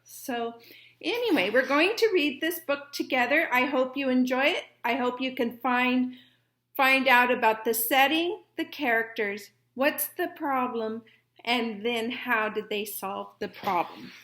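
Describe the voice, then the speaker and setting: Slow, high-voiced woman reading to children. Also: a bit bright. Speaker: story reader and teacher. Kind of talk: children's read-aloud.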